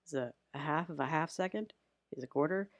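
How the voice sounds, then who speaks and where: A woman's voice: low-pitched, brisk, no echo. A woman giving a casual, unscripted editing tutorial in a chatty, rambling way with self-deprecating humour.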